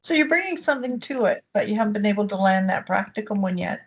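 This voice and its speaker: Husky-voiced woman leading a class call. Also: medium pitch, expressive, quick pace, highs cut off. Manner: encouraging, moves things along. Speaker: course instructor. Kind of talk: leading a group video call. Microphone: video call.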